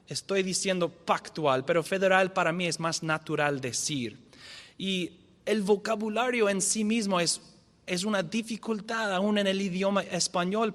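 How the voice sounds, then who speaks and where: A man's voice: high voice, a bit bright, long reverb tail. Non-native man lecturing in a church. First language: not Spanish. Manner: careful, with frequent restarts and self-corrections. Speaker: guest teacher. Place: church hall.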